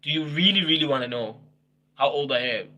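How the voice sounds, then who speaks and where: A man's voice: a little high, lively intonation, unhurried, pushed and bright, a little hiss or hum. A man in his twenties chatting casually with viewers on a livestream, teasing them, laughing often and repeating himself. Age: 20s. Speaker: livestream host.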